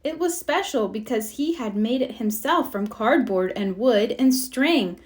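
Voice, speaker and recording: Slow-paced woman reading a children's story. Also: a little high, animated, darkish tone. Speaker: storybook reader. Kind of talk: expressive children's read-aloud narration.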